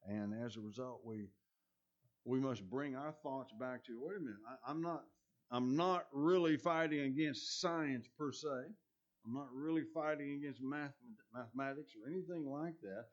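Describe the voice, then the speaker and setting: A man's voice: mid-range voice, normal speed. Man, preacher, scratchy voice, earnest, restarts phrases, dull lo-fi recording. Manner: earnest, with many restarts and ums. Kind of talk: sermon.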